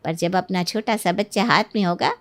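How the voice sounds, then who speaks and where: A woman playing a smooth-talking, manipulative middle-aged mother-in-law in a Hindi cartoon story, persuasive and full of feigned concern. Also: medium pitch, a little fast, natural voice. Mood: smug scheming.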